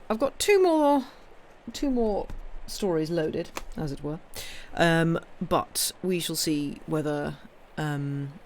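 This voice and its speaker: A woman's voice: deep voice, slight background noise. A woman streaming live, chatting casually with her viewers between ghost stories, apologetic and self-deprecating, with plenty of fillers and restarts. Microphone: close desk mic.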